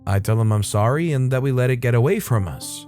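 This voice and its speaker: A man's voice: mid-range voice, brisk. A young man casually narrating online stories, adding his own wry commentary between them. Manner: chatty, wry, animated asides. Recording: faint music behind.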